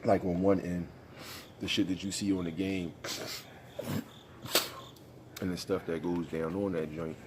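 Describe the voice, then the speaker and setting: Casting: congested young man, very low voice, slangy gaming vlogger, faint background hum. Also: flat delivery, measured pace, pauses often. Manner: laid-back, rambling, slangy. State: congested, sniffly. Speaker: gaming YouTuber. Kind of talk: casual vlog commentary.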